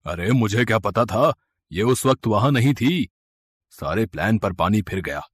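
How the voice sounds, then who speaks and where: A man playing a greedy, murderous uncle in a moral story, coaxing and conspiratorial, with a husky voice. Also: on the low side, expressive, moderate pace, no echo. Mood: scheming. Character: scheming murderous uncle, falsely kind, greedy.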